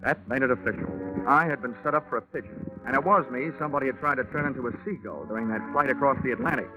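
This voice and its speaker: An actor playing a wry, hard-boiled American investigator in a 1940s radio detective drama, deadpan and wisecracking. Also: male voice, mid-range voice, fast, lightly acted. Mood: hard-boiled, narrating. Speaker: detective narrator. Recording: muffled 1940s radio recording with music.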